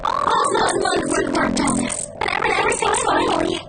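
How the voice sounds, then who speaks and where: An actress voicing an excitable, easily flustered young woman in a visual novel game, stammering and earnest. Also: extremely low pitch, creaky, heavy background noise, exaggerated voice. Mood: earnest, defensive. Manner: stammering, pleading, quick to protest. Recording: loud music under the voice.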